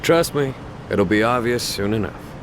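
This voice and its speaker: A man's voice: on the low side, animated, normal speed, noisy background. A man playing a sly, confident fixer loyal to his boss in an English video game dub, speaking in a casual, coy way.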